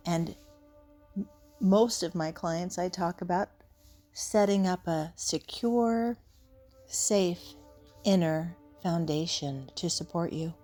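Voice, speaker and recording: A woman leading an unscripted guided meditation in a soothing, gentle, unhurried way with long pauses. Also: lowish voice. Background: soft background music.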